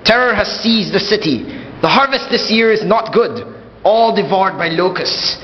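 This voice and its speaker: A man's voice: high-pitched, expressive, normal speed, muffled recording, slight background noise. A man playing a dutiful, tender king in a radio play of Greek myth, speaking solemnly in formal old-fashioned diction. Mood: troubled. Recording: reverberant hall sound, clear music behind.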